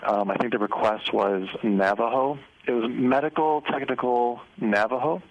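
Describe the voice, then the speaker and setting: A man's voice: medium pitch, normal speed. A middle-aged man whose first language was Spanish, a business owner speaking in a casual radio interview, hesitant with many fillers and restarts. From United States. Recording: muffled lo-fi radio broadcast.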